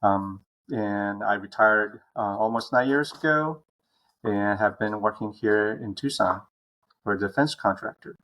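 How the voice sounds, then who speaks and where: A man around fifty who grew up in Taiwan, a guest who tells his story in a measured way with many ums. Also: fairly low pitch, fairly bright tone, poor audio. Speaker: guest, retired navy officer. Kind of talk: casual interview.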